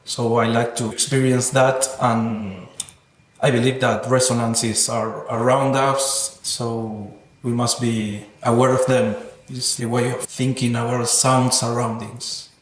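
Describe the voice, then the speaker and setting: A man's voice: medium pitch, little pitch movement, takes it slowly. A man giving earnest, reflective interview answers as a guest artist, thoughtful and philosophical, with slightly non-native English phrasing. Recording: roomy echoing sound.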